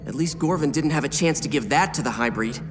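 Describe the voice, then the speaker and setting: A man's voice: fairly high pitch, quick pace, lightly acted. An actor playing a brave, confident teenage boy hero in a video game, earnest and casual. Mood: focused. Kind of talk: video game cutscene voice acting. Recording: music behind the dialogue.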